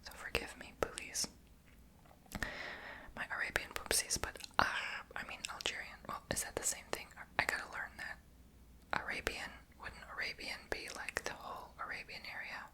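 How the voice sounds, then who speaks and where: A woman's voice: moderate pace, pushed and bright. A woman whispering in an ASMR video, chatty and rambling, who says she is tongue-tied and makes her r in her throat. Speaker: ASMR creator.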